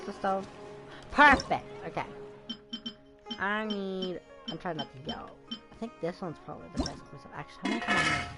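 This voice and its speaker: A woman's voice: mid-range voice, expressive, moderate pace, loud music behind the voice. A woman giving casual gameplay commentary as a game streamer, rambling and thinking aloud in informal, slangy speech.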